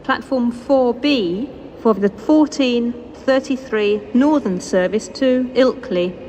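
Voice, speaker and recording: A British woman reading scripted railway announcements over a station loudspeaker, formal and measured, in segmented phrases. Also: a little high. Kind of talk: scripted public-address train announcements. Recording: noisy station PA recorded on location.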